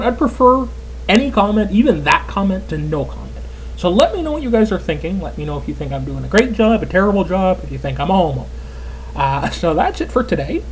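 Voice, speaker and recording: A man's voice: high voice, a little hiss or hum, poor audio. Chatty Canadian man in his twenties. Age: 20s. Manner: chatty, rambling, lots of fillers. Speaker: let's player. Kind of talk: casual gameplay commentary.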